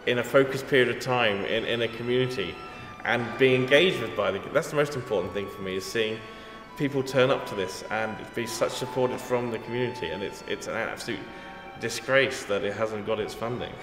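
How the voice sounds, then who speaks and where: A man's voice: mid-range voice, a little fast, hardly any pauses. A man with an English accent, a composer talking in an interview, thoughtful and self-deprecating, often restarting his sentences. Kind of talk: casual interview. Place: echoey gallery hall. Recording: echoey hall, music behind.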